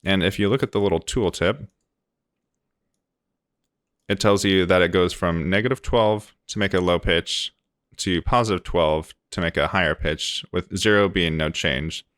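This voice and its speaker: A man with a low voice walks through a software tutorial step by step. His delivery is informal and easygoing, and the close voice-over sound is very dry. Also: unhurried, no room sound. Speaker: tutorial presenter. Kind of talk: casual screen-recorded software tutorial.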